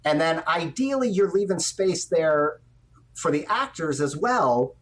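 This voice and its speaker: Middle-aged male director, slightly high voice, conversational interview guest on a video call. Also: animated, unhurried, fairly bright tone. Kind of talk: conversational interview, explaining his work. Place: indoor room on a video call.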